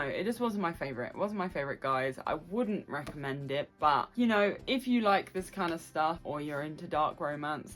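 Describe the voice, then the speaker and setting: A woman hosting a casual book review vlog, talking informally and directly to her viewers and repeating phrases for emphasis. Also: fairly low pitch. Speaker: book reviewer and video host. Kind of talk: casual chatty book wrap-up to camera.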